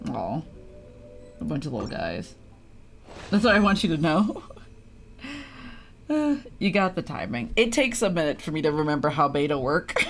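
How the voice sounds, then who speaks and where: Lively low-voiced woman streaming a game. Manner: playful, exclamatory, muttering to self. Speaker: livestreamer playing a video game. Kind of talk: casual gaming chat with viewers, reacting to play.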